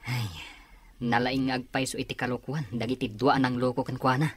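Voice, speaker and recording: A sympathetic younger acquaintance in a radio drama. The voice is extremely low and husky, recorded dry. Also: female voice, flat delivery, a little fast, dry recording. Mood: concerned.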